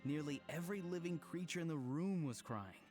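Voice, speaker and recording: A man voicing a calm, deadpan young man in a game, matter-of-fact in delivery. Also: a little high, normal speed, close to an everyday voice. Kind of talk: game voice acting. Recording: game audio with music behind.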